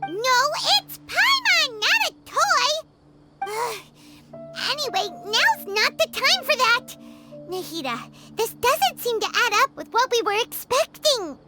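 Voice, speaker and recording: Very high, squeaky childlike fairy. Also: female voice, sing-song, slow pace, full character voice. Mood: indignant. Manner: exclamatory, speaks of herself in third person. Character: Paimon, tiny excitable childlike fairy companion, female. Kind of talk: video game voice acting. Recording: loud music behind the voice.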